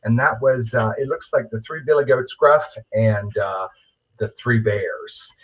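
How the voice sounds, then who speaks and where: Middle-aged male guest, husky, mid-pitched, chatty and enthusiastic, on muffled call audio. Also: measured pace, fairly bright tone. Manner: enthusiastic, rambling. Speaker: puppeteer, interview guest. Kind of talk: casual chat. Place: remote video call. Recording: dull, lo-fi video call audio.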